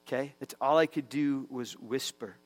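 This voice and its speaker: A man's voice: medium pitch, normal speed. A man preaching a conversational sermon in a church, telling a scripture story with many fillers and restarts. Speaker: pastor.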